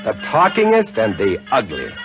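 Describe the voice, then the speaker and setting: Dramatic lighthouse-keeper narrator, old broadcast sound, score underneath. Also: male voice, fairly low pitch, huge pitch swings, normal speed. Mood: tense. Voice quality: dramatic, vivid storytelling. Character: lighthouse keeper recounting a horror, male, adult. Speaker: narrator and lead actor. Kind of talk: 1950 radio drama narration. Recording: dull old radio broadcast with music.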